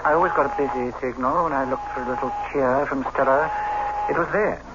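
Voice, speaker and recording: A man's voice: medium pitch, fairly quick, heavy background noise, natural voice. An actor playing a bitter, hard-bitten husband narrating his own story in a 1960s radio drama, wry and dramatic. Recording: dull old radio recording, loud music.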